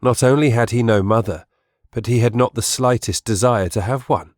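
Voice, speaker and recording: A man's voice: medium pitch, normal speed, a little mellow, no echo, studio quality. A man reading scripted storybook narration as the narrator of an audiobook.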